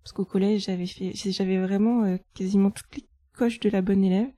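A woman in her thirties from France, a guest on a podcast, talking through her life in a relaxed, chatty way with fillers. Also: medium pitch, normal speed, dry recording. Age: 30s. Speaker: guest, chartered accountant. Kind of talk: casual interview.

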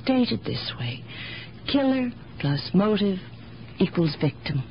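An actress playing an independent, philosophical elderly aunt with a sharp mind, speaking deliberately in gently contrary riddles in 1970s radio drama style. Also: female voice, medium pitch, very animated. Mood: thoughtful, precise. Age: older. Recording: dull, noisy 1970s radio broadcast recording.

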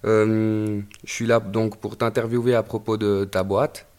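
A man hosting a podcast, reading a scripted intro and then asking a guest clear, structured questions. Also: fairly low pitch, flat delivery, unhurried, darkish tone. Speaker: podcast host. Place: startup incubator office.

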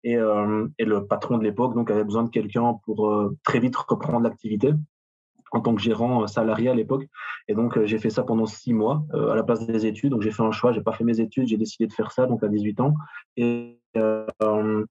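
A man with a Belgian French accent talks through his story in halting bursts, full of small restarts. His voice is husky. The recording sounds dull and muffled. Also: on the low side, level tone, a little mellow. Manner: hesitant, many fillers and restarts. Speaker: guest, personal trainer and gym owner. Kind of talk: casual interview answers.

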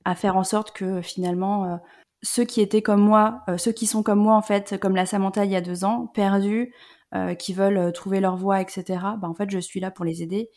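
A woman's voice: mid-range voice, steady tone, a little fast, darkish tone. Hesitant, reflective woman in her thirties. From Rhône-Alpes, France. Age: 30s. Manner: reflective, many hesitant "euh" fillers. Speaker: guest. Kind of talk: personal story in an interview.

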